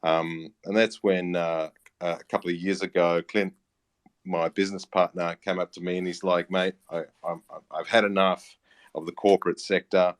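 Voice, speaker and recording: An Australian man in his late thirties giving a casual interview, halting and matey as he explains his work. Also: sits low, little pitch movement. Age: late 30s. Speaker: interview guest, music platform founder and teacher.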